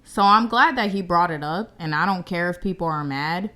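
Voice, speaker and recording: A woman's voice: lowish voice, moderate pace, sharp tone. A young woman from the United States hosting her own show, giving blunt, confident commentary straight to camera. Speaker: show host.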